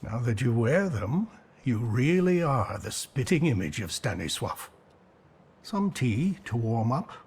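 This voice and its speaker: An actor playing a composed, genial male companion in a video game, with a calm, dry delivery. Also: medium pitch, unhurried, breathy edge, heavily acted. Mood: courteous. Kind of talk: video game cutscene acting.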